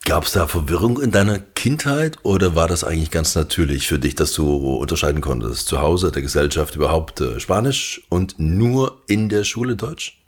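A man's voice: deep voice, animated, normal speed, hardly any pauses, husky. A man interviewing a guest, asking curious, probing questions in a conversational way.

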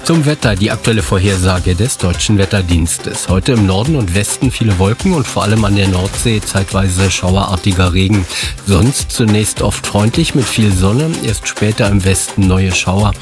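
A man reading a radio news bulletin and weather forecast in a steady, formal way. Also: on the low side, normal speed, music in the background. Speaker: newsreader. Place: radio studio.

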